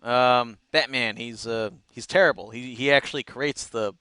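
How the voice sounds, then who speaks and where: A man co-hosting a casual chat, recapping episodes in an animated storytelling way. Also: mid-range voice, normal speed, clean tone, dry recording. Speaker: co-host reviewing cartoon episodes.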